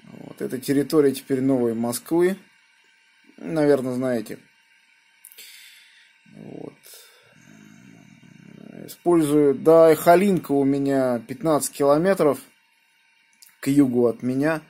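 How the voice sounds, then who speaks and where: A man makes casual amateur radio contact. His voice is mellow and mid-pitched. He talks in an unhurried, rambling way. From Moscow region, Russia. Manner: unhurried, rambling, spelling out callsigns phonetically.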